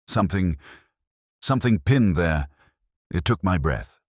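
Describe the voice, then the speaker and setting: A man's voice: deep voice, expressive, unhurried, no room sound, studio quality. A man voicing a timid, shaken junior clerk in a detective story, hesitant in his delivery. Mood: frightened, breathless awe. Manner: hesitant, stammering.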